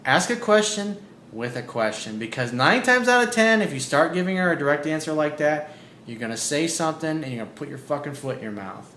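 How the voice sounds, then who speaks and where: A man working as a dating coach, giving blunt, profane advice as he reads out listener emails. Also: fairly quick. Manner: blunt and profane, animated, repeats key points. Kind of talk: casual advice monologue reading out emails. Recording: roomy echo with faint low rumble.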